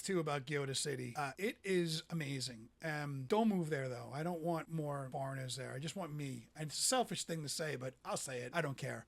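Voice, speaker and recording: A man's voice: on the high side, a bit bright. A middle-aged man from the United States talking in a casual vlog, blunt and opinionated, swearing freely as he rambles. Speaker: vlogger. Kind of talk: casual, profane monologue.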